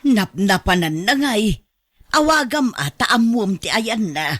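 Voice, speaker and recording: A woman acting an older Ilocano mother in a radio drama, with lively swings in a medium-pitched voice. She is concerned and nagging, and the recording is dry. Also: unhurried, no echo. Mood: concerned, scolding.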